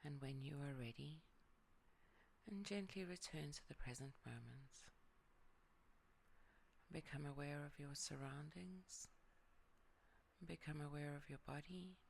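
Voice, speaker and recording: Meditation guide, unhurried, half-whispered, faint hiss behind. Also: medium pitch, vocal fry, low-quality recording. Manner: soft and calm, often sinking into a whisper. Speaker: yoga nidra guide.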